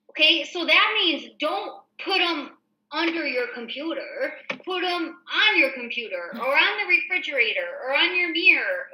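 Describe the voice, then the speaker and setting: Bright, high-pitched American woman, chatty host. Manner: chatty, informal, explanatory. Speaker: show host and real estate agent. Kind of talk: casual reading of a newsletter with commentary. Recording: echoey room.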